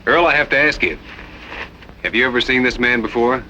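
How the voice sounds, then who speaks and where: A man's voice: mid-range voice, a little fast, pushed and bright. An actor playing a terse, suspicious man of the West who gives orders dryly in a radio western. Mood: grim. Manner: terse, commanding, dry. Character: stern, suspicious western cattleman taking charge. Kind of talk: old time radio western acting. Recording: muffled, noisy old radio recording.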